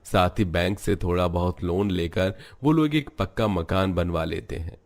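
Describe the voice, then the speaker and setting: A man with a deep voice narrates an animated family story. His delivery is scripted storytelling, set in a low register. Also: moderate pace.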